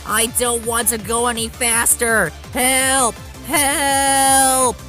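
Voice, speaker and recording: A storyteller voicing a panicked male steam engine with frantic, wailing shouts, in a children's story. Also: medium pitch, level tone, drawn-out words, soft background music, pitched above the performer's own voice.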